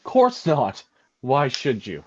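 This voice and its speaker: A man's voice: mid-range voice, wildly swooping pitch, takes it slowly. A man playing a sarcastic, aggrieved computer technician in a script table read, with a hesitant delivery. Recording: dull lo-fi mic with faint noise.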